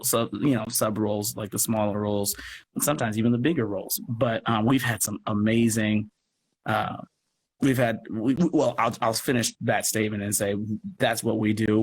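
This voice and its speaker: A man speaking as an interview guest in a casual, halting way, thinking aloud as he goes. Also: fairly low pitch, a little hiss or hum, poor audio. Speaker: guest, audio drama producer and actor.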